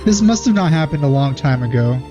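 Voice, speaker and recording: A man giving casual reaction commentary as a video host, in a hesitant, low-key manner. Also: expressive, brisk, highs cut off. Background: game trailer music.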